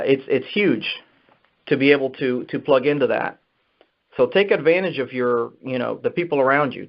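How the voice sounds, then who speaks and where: Man giving motivational business advice, dull recording. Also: mid-range voice. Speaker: sales manager giving advice. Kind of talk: motivational business talk on a conference call. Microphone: telephone conference line. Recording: dull, muffled conference phone call.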